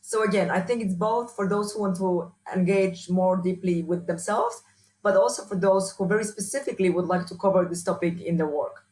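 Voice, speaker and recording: A woman with a strong Polish accent answering interview questions as a researcher and trainer, often restarting and filling pauses. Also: medium pitch, a little mellow, poor audio. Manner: hesitant, many restarts and fillers. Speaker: workshop speaker, researcher.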